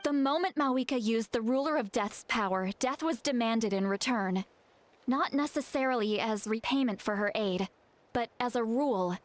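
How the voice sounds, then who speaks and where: A woman acts a serious, analytical young guide in a video game. The voice is fairly high, with an earnest, explanatory manner and a composed temper. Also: normal speed, lightly acted.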